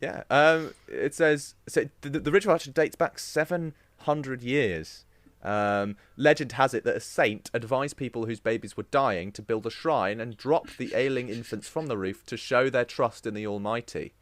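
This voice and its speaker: A man's voice: medium pitch, moderate pace, hardly any pauses, a bit bright, no room sound. Male podcast host, wry and laughing, dry sound. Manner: wry, laughs at his own jokes. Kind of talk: casual banter, reading out a story.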